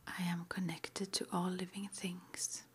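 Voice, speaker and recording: A woman doing an ASMR roleplay in a breathy, unvoiced whisper, with a gentle, unhurried manner. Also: lowish voice, level tone, slight background noise. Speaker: ASMR roleplay performer playing a witch school interviewer.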